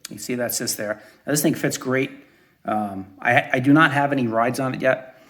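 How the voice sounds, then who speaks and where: A man's voice: medium pitch, normal speed, a bit bright. A man in his forties from southern Arizona giving a casual, rambling walkaround review at home outdoors, full of fillers and restarts. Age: 40s. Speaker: vlogger reviewing his own quad.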